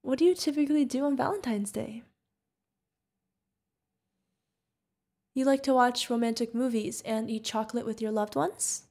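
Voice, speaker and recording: A woman's voice: on the high side, a little fast, mellow. A woman playing a sweet, curious, friendly feathered alien bartender in an ASMR roleplay, chatting directly to the listener. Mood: sweet, delighted.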